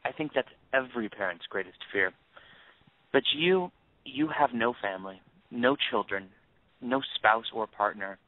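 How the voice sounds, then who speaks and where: A man acting in an audio play as a bitter grieving father turned cold avenger, accusing and sarcastic in a deliberate, commanding way. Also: mid-range voice, expressive, no echo, close to an everyday voice. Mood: menacing, taunting. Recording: tinny phone-like band.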